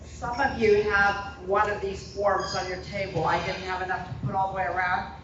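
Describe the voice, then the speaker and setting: A woman's voice: mid-range voice, little pitch movement, moderate pace. Woman speaking plainly in a roomy hall. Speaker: local volunteer speaker. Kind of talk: informal talk to a meeting. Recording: distant, roomy, muffled and noisy hall recording.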